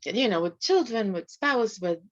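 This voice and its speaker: A middle-aged woman, a life coach, speaking softly in a casual video call interview, with light laughs. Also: on the high side, animated, a little slow. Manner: soft-spoken, often laughs lightly. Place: home room. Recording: slightly dull video call audio.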